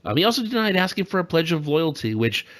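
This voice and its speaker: A man's voice: on the high side, big pitch swings, fast talker, fairly bright tone. A man co-hosting a podcast with casual, joking political commentary full of teasing asides. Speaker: podcast co-host.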